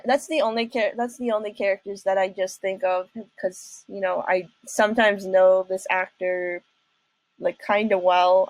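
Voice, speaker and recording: A woman's voice: mid-range voice, dry recording, rough recording. A young woman hosting a casual reaction video, talking in a hesitant, rambling way.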